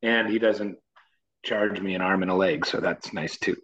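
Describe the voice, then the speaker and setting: A man with a low voice, a musician guest chatting casually in an interview, easygoing and rambling. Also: normal speed, a bit bright, poor audio. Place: Nashville.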